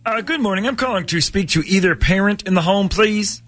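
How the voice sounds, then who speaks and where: A man playing an earnest, clueless school planning official on a prank phone call, polite, hesitant and deadpan. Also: high voice, fairly quick, faint music behind. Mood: polite, upbeat. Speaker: radio show prank caller.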